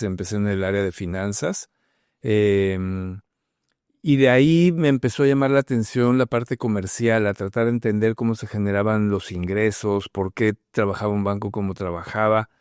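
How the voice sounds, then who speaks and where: A middle-aged Mexican man with a Mexican Spanish accent, a guest telling his story in a relaxed interview, pausing with "eh" and "pues". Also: lowish voice, smooth, no echo, studio quality. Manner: thoughtful, many fillers like "eh" and "pues". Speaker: guest, bank HR manager.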